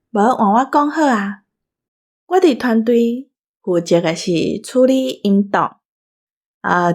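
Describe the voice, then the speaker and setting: A woman's voice: medium pitch, darkish tone. A woman with a Taiwanese accent giving a casual farewell talk to listeners as a member of an audio team.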